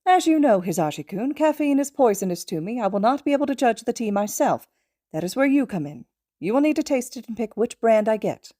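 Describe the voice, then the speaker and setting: A small, brainy male creature played by a woman reading a story speaks in a raised, lifted pitch with close, dry sound. The mentor is insistent and precise, laying out each point with emphatic, wordy confidence. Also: sing-song, brisk, mellow, no room sound. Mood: matter-of-fact, firm.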